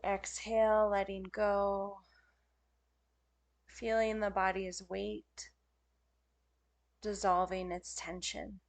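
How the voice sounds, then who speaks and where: A woman leading a guided yoga nidra meditation as a teacher, speaking in gentle, soothing, unhurried phrases with pauses. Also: mid-range voice, level tone, gravelly.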